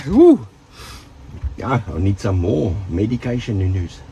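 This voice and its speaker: A man's voice: medium pitch, very animated, slow, a little hiss or hum. A South African man with the flu, giving casual, joking safety tips to his viewers and rambling off with trailing asides. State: sick with flu, rough voice. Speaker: vlogger.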